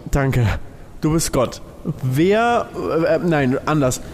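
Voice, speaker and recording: Man, high-pitched voice, podcast co-host, casual improvised banter, mock-sceptical, questioning. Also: lively intonation, slow pace, darkish tone, slight background noise.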